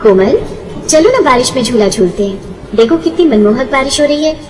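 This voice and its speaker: Woman voicing a high, kind, eager young goddess. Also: expressive, moderate pace, echoey room, big-room sound, a little hiss or hum, low-quality recording. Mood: coaxing. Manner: gentle, coaxing, cheerful.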